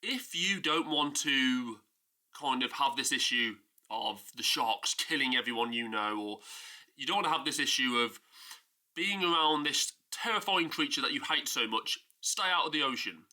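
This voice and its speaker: A man's voice: mid-range voice, lively intonation, normal speed, sharp tone. A man with a stuffy nose from a cold, casually and emphatically ranting about a film in a vlog. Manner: exasperated, emphatic, mocking. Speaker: film review YouTuber.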